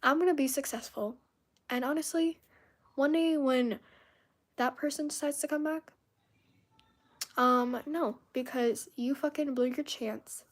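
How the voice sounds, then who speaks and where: Young woman, native Spanish speaker, high-pitched, chatty, emphatic, restarts and fillers. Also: a little slow, pauses often. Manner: venting, emphatic, filler-heavy with frequent restarts. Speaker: live streamer. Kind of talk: casual live stream chat.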